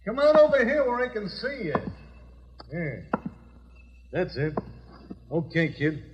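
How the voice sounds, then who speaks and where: A man playing a sneering, cold-blooded gangster henchman in an old radio crime drama, with a husky voice, slangy talk and an American accent. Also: sits high, big pitch swings, a little slow, muffled recording, noisy background. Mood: mocking menace. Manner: slangy, mocking, menacing. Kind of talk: 1940s-50s radio crime drama acting.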